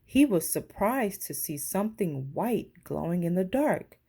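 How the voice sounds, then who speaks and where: A woman narrates a children's storybook in a teacher's read-aloud style. Her voice is lowish and mellow, with a creaky edge. She reads slowly. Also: very animated. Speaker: teacher reading a picture book aloud.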